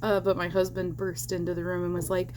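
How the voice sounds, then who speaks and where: A woman chatting casually while she teaches crochet at home, rambling with fillers and small laughs, her voice slightly hoarse because she is losing it. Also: fairly low pitch, flat delivery, a little fast, a little mellow, a little hiss or hum. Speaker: crochet vlogger. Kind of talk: casual crochet tutorial chat.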